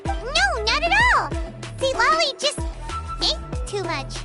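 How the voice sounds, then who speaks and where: A woman voicing a tiny, cheerful, childlike fairy companion in a video game, squeaky and eager. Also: very animated, slow, loud music behind the voice, heavily acted. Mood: flustered. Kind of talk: English video game voice acting.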